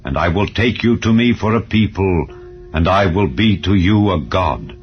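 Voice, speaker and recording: A man's voice: low-pitched, normal speed. A man voicing a commanding, majestic God in a dramatized scripture reading, grand and emphatic. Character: God, commanding, majestic male deity making solemn promises. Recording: dull lo-fi audio over music. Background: calm background music.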